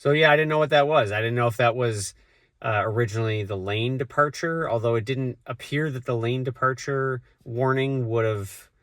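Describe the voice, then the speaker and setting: A man's voice: mid-range voice, moderate pace, fairly bright tone, no room sound, studio quality. A man with a cold, talking casually to the camera as a vlogger, rambling with lots of fillers and restarts. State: has a cold, voice deeper than usual. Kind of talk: casual vlog talk.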